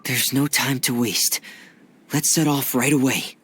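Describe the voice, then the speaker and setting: A man voicing a gentle, reserved young adventurer in a video game, soft and breathy, earnest and supportive. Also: mid-range voice, steady tone, a little slow, a little hiss or hum, lightly acted. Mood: gentle, encouraging.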